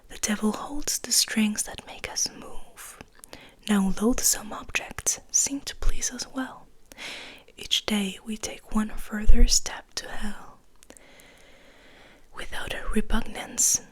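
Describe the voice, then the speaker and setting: A woman whispering in a breathy voice as she reads poetry for ASMR, in slow, measured phrases with pauses. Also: mid-range voice, low-quality recording. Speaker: ASMR host reading poetry.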